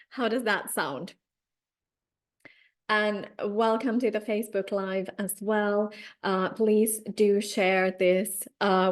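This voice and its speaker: A woman from Finland with a Finnish accent, a career coach giving a live-streamed training talk and speaking straight to her viewers in an encouraging way. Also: mid-range voice. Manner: unhurried, encouraging, addresses viewers directly.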